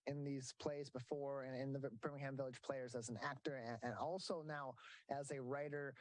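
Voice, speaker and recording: Local TV host, man, slightly high voice, dry close sound. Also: no echo, low-quality recording. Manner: reads event dates and ticket details, corrects himself. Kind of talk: local TV interview.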